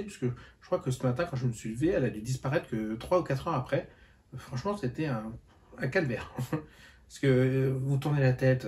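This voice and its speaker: A man's voice: medium pitch, a little mellow. Hesitant, tired French-speaking man vlogging. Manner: hesitant, many fillers and restarts. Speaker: book vlogger. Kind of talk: casual reading-update vlog.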